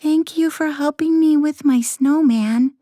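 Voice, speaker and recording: A woman's voice: high voice, a little slow, warm tone, no room sound, studio quality, pitched above the performer's own voice. A woman voicing a curious, kind little girl in a children's bedtime story, with a wondering, childlike manner. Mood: warming up.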